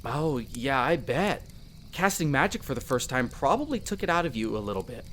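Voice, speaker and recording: Young elf explorer acted out, animated swooping pitch, mid-pitched, upbeat, protective, audio roleplay, clear background ambience noise. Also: normal speed. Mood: warm, reassuring. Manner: animated, reassuring, chatty. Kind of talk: roleplay audio drama addressed to the listener.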